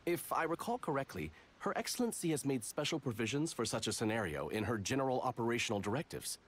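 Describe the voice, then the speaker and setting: An actor playing a dutiful, rule-bound soldier in a video game, speaking formally and carefully. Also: male voice, mid-range voice, very animated, fast talker, slight background noise, natural voice. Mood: officious. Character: dutiful male soldier, formal stickler for written rules. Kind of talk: video game character acting.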